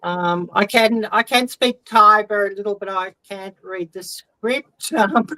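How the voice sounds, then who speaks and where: A woman's voice: mid-range voice, unhurried, dry recording, poor audio. A woman talking over a video call as a guest, hesitant and full of ums. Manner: hesitant, many ums, soft trailing. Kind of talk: casual video call chat.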